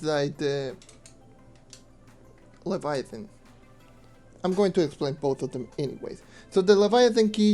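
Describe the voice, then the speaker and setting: A young man streaming a game and answering questions in loose, rambling casual talk, with a hoarse voice he says he is losing. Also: fairly high pitch, mellow. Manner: rambling, loose with fillers, mutters to himself. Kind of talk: casual gameplay commentary and Q&A. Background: faint music.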